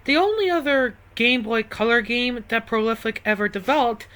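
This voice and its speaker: A woman's voice: a little high, moderate pace, a bit bright. A woman narrating a video game review, sweary and exasperated, given to ranting outbursts. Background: music.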